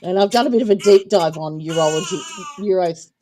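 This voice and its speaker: A woman co-hosting a casual livestream chat, chatty and quick with short replies. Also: fairly low pitch, noisy background. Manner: chatty, laughs often, quick backchannels. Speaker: co-host.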